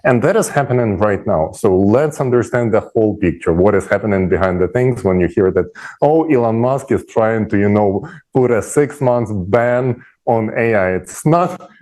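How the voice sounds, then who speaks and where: Mellow man. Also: fairly low pitch, moderate pace. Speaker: panel speaker. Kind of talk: conversational explaining on an online discussion.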